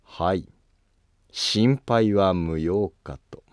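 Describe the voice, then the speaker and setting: Man voicing a slow, low-voiced scheming court noble. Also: no room sound, studio quality. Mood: cool, calculating. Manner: formal, calm, deliberate. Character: scheming, coolly polite male Heian court noble.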